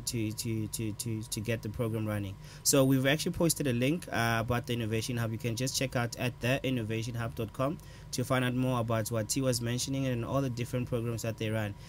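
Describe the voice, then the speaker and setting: A man's voice: mid-range voice, a little hiss or hum. A man co-hosting a tech show, pointing viewers to programs in a casual, upbeat way. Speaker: co-host.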